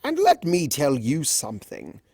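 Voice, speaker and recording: A narrator voicing a flamboyant, teasing male chimera trickster in a fan storybook reading, smug and theatrical with drawn-out emphasis. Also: mid-range voice, huge pitch swings, a little mellow, breathy edge, no echo, clean studio sound, voice pushed up, full character voice. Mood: playful, grandiose.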